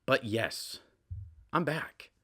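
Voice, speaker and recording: A man in his twenties hosting a casual solo podcast, rambling and stammering over repeats, swinging between loud bursts and quiet asides. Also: medium pitch, very slow, husky. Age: 20s. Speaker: podcast host.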